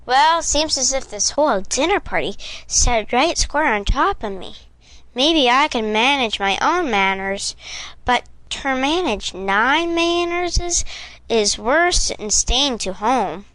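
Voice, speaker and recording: An actress playing a flustered eldest daughter of a poor family in an audio drama, halting and muddled as she speaks. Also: sits high, animated, dry recording, full character voice. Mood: flustered, burdened. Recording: loud background noise.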